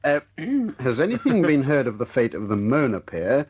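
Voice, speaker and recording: A man acting in a radio drama as a cocky, jovial air force officer and ladies' man, husky-voiced and playful. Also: medium pitch, wildly swooping pitch, moderate pace, darkish tone. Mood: amused, mock-solemn.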